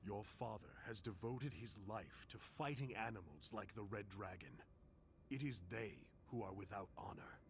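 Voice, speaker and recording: A man's voice: on the low side, lively intonation, moderate pace, vocal fry, a little hiss or hum. A man playing a stern, honorable ninja master in a video game, formal and authoritative. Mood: grave. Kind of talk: dramatic video game acting.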